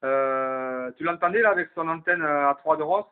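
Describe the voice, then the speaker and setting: A man's voice: fairly high pitch, moderate pace, noisy background. A man chatting casually over CB radio, thinking aloud as he passes the turn to other operators. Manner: informal, colloquial, thinking aloud. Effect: CB radio transmission. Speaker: amateur CB radio operator leading a round of calls. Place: CB radio link in FM. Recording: tinny narrow-band CB radio.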